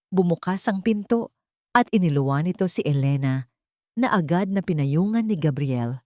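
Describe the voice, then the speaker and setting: A woman with a Filipino accent narrates a scripted dramatic story in a measured, solemn, suspenseful way. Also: on the low side, darkish tone, no room sound, clean studio sound. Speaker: storyteller, narrator of audio stories.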